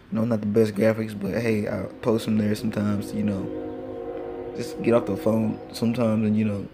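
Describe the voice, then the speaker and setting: A man's voice: fairly low pitch, gravelly, noisy background. A teenage boy casually rambling through commentary over a mobile game, with lots of fillers, recorded on a phone at home. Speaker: gaming channel host. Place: home with train passing outside. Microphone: phone screen recorder.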